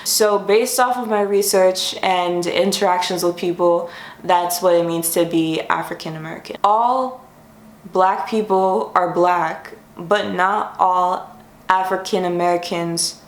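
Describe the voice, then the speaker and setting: Young woman vlogging on her bedroom floor, kinda low voice, chatty, fixes herself mid sentence, echoey room. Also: a little slow. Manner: chatty, friendly, thinks aloud and corrects herself. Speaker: YouTube host. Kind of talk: casual explainer vlog.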